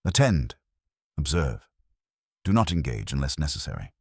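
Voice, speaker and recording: A stout, commanding detective snaps out short, clipped orders, as a man reads him. The voice sits extremely deep and husky. It is dry and close, with no room sound. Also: sing-song, moderate pace, dry recording, exaggerated voice. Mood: terse.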